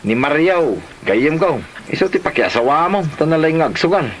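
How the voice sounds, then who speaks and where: A man's voice: on the high side, normal speed, close to an everyday voice. A man acting as a cajoling brother trying to match his sister with a gambler, in a homemade comedy drama. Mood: coaxing. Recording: noisy, steady hiss behind.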